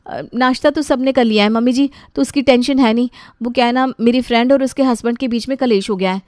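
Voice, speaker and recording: A woman's voice: on the high side, fairly even intonation, fast, darkish tone, no echo, clean studio sound, natural voice. A woman voicing a bossy, dramatic young sister-in-law, emphatic and indignant in a story. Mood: breezy, bossy.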